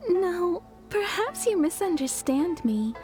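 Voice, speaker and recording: High, animated young townswoman. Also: heavily acted. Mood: amused. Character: cheerful, fair-minded young townswoman telling stories. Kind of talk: video game character dialogue.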